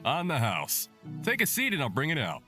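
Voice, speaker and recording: A man's voice: on the high side, wildly swooping pitch, moderate pace, music in the background. A man voicing a cheerful, teasing bartender in a fantasy visual novel. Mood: cheerful, apologetic.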